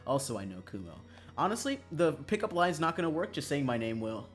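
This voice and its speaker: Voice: mid-range voice, very animated, a little fast, soft background music. A streamer chatting casually on a livestream in a joking, self-mocking, dramatic way, swearing freely.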